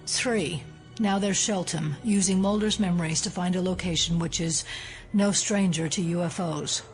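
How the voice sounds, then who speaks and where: An actress playing a resolute, analytical female FBI agent in an audio drama, explaining things seriously and deliberately. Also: lowish voice, soft background music, close to an everyday voice, poor audio.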